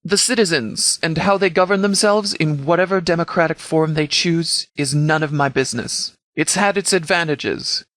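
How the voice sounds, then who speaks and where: A man playing a commanding sheriff in a video game, speaking formally and deliberately as he briefs subordinates. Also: a little high, a bit bright, no room sound, natural voice. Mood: stern, resolute. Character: commanding, measured sheriff leading an armed department.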